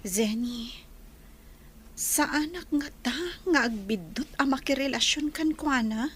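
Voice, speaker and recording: A dutiful young working woman, played by a woman speaks in a story drama. Her voice is high, and she is earnest about providing for her family. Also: expressive, a little slow, fairly bright tone, slightly rough, exaggerated voice.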